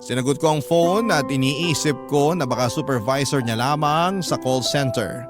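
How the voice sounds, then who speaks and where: A man narrating a scripted first-person story as a radio host, emotive and measured, with dramatic pauses. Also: mid-range voice. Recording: music bed under the voice.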